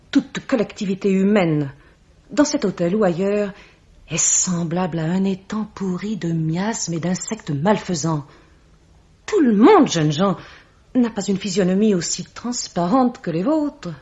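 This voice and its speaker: An actress playing a haughty mystical bookseller in a French radio mystery, solemn, theatrical and full of contempt. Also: female voice, mid-range voice, lively intonation, measured pace, dark tone, natural voice. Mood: haughty, defensive. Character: haughty mystical bookseller woman, accusing and ominous. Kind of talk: French 1950s-style radio mystery acting. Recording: dull vintage radio-drama recording.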